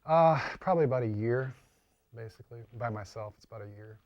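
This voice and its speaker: A man's voice: mid-range voice, fast, lo-fi sound. A man being interviewed as a costume and prop maker, explaining informally and often repeating and rephrasing himself.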